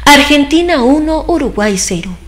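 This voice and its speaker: A woman's voice: sits high, expressive, normal speed, over loud music. A woman working as a commentator, delivering a scripted opinion piece for a news programme.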